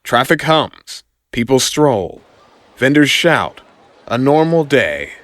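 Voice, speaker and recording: A man's voice: medium pitch, sing-song, takes it slowly, a bit bright. A man narrating an audio comic in a dramatic, scripted storytelling style.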